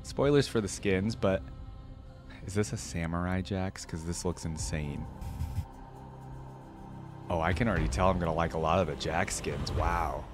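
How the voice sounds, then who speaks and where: A man's voice: sits low, normal speed, long pauses. A man hosting a reaction video, giving casual commentary. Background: game audio and music.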